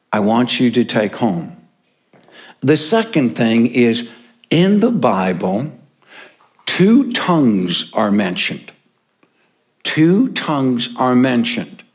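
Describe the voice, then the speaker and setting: Animated, slow-paced preaching man. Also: medium pitch. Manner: emphatic, repeats key points for the congregation. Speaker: pastor. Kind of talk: sermon.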